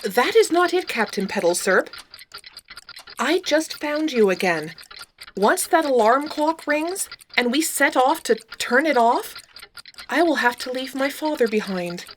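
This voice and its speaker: A woman's voice: high-pitched, big pitch swings, a little slow, slight background noise. A woman voicing a polite, sensitive shapeshifting spaceship with precise, formal diction in a science-fiction audio drama. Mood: wistful.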